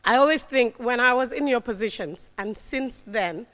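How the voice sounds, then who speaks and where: Fairly high-voiced woman in her forties. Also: moderate pace. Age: 40s. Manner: warm, emphatic, repeats key words, frequent "right?". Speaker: guest speaker. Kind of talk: motivational talk to young audience. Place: lecture hall. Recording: long hall reverb.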